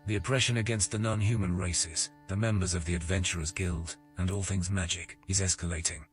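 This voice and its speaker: A man playing the storyteller narrator of a fantasy audio game, measured and dramatic in his scripted delivery. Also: low-pitched, steady tone, faint music behind, lightly acted. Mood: measured, ominous.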